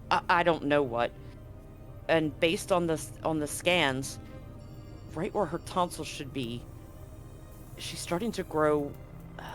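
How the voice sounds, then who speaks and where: A man's voice: a little high, close to an everyday voice. A man playing an anxious, grave doctor in a tabletop game, explaining things clinically and hesitantly. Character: anxious, grave male doctor at a remote medical facility.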